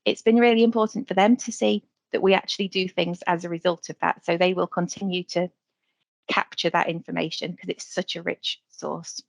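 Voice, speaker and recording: A woman presenting slides on a webinar video call, talking quickly with some fillers. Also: on the low side, gravelly, no room sound. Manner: quick, slightly hurried delivery with fillers.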